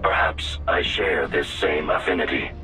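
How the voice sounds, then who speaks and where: An actor playing a coolly logical AI combat robot in a game cutscene, formal and analytical. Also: male voice, very deep voice, a little slow, sharp tone, soft background music, heavily acted, low-quality recording.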